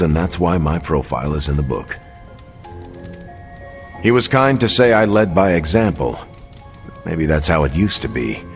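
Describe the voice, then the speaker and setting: A man playing a modest veteran soldier superhero in a video game, speaking in a measured, sincere, plain-spoken way with music behind him. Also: low-pitched. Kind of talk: video game cutscene acting. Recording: background music under the voice.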